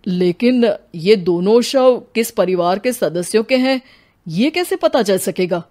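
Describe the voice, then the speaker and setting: A woman's voice: medium pitch, animated, measured pace, dark tone, lightly acted. A woman takes the part of a senior police officer in a cartoon tale. He sounds methodical and official, putting questions with authority over background music. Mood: inquiring. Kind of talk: Hindi animated moral story dialogue.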